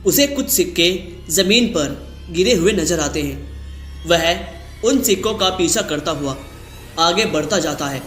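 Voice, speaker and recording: Casting: male cartoon-story narrator, slow and bright, echoey sound with music behind. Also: a little high, expressive. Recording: roomy echo over background music.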